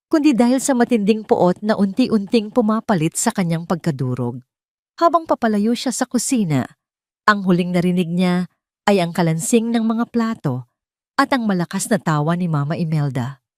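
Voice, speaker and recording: Female Filipino narrator, lower register, solemn melodrama delivery for audio fiction, close dry sound. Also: a little mellow, dry recording. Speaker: story narrator. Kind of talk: dramatic scripted audio-story narration.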